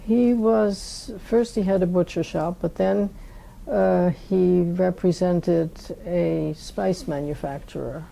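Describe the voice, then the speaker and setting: An elderly Dutch-accented woman with a deeply gravelly, dark voice. She speaks slowly in an interview, often pausing and circling back to find the right word. Her register sits fairly low for a woman. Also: steady tone. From Netherlands. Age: 80s. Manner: unhurried, searching for words. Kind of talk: oral history interview.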